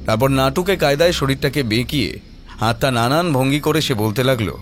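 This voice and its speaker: A man's voice: medium pitch, fairly quick, a little hiss or hum. A man narrating a scripted story in a dramatic storytelling style.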